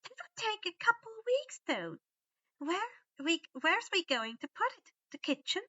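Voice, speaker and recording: A woman's voice: extremely high pitch, very animated, moderate pace, sharp tone, no echo, lo-fi sound, voice pushed up, exaggerated voice. A woman voicing a bossy, sarcastic female house elf servant who speaks in broken third-person grammar for a story. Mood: fretful.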